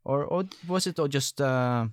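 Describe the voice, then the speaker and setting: A man hosting an interview, asking questions haltingly with many fillers. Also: medium pitch, dry recording, studio quality. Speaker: podcast host. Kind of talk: interview conversation.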